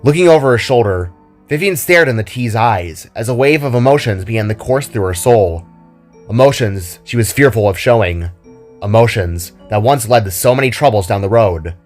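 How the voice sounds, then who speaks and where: A man's voice: lowish voice, lively intonation, normal speed, soft background music. A man reading scripted fiction as a dramatic storyteller.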